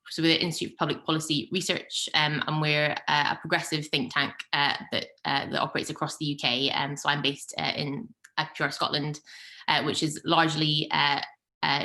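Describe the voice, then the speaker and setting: Soft-spoken woman presenting on a video call. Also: deep voice. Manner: hesitant, many ums and uhs. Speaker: invited seminar speaker, policy researcher. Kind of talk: presenting slides in an online seminar.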